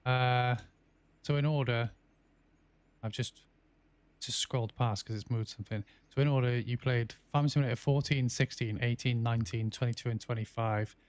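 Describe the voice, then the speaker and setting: A man hosts a live game stream, chatting loosely with viewers as he plays. His voice is clean and smooth at an ordinary middle pitch. He thinks aloud, breaking off with ums and trying his sentences again. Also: no echo. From England. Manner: chatty, reads out and answers viewer chat with many ums and restarts. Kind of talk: casual live-stream chat while playing.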